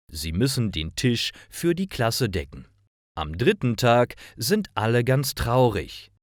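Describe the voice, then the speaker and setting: A man reading simple stories as a narrator for language learners, in a deliberate and expressive storytelling style. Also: on the low side, no echo, studio quality. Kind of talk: scripted storytelling narration, read slowly for learners.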